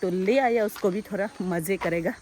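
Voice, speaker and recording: A young woman vlogging casually outdoors in the countryside, chatty and excitable, talking into a handheld phone. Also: mid-range voice, normal speed. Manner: chatty, excitable, switches between loud exclamations and quiet asides. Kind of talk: casual vlog narration while walking outdoors. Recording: faint outdoor background noise.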